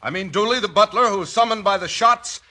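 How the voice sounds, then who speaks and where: An actor playing a declamatory prosecutor addressing a jury in a 1940s radio drama, emphatic and oratorical. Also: male voice, high-pitched, brisk, bright, forceful tone. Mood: triumphant, emphatic.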